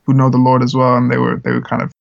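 A man's voice: medium pitch, monotone, rapid-fire, gravelly, highs cut off. A man who grew up in the Czech Republic, a musician guest talking earnestly in a casual interview, with lots of fillers. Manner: earnest, rambling with frequent fillers.

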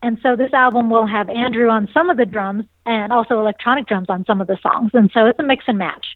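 A woman's voice: a little high, fast, no room sound. Bubbly nasal woman in her forties. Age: 40s. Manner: bubbly, laughs often. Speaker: singer and saxophonist. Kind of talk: casual interview answers. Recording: dry, tinny phone line.

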